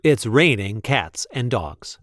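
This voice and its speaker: A man reading a picture book aloud expressively, with deliberate, playful emphasis on the sentences. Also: mid-range voice, big pitch swings, fairly bright tone, dry recording, clean studio sound. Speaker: read-aloud storyteller.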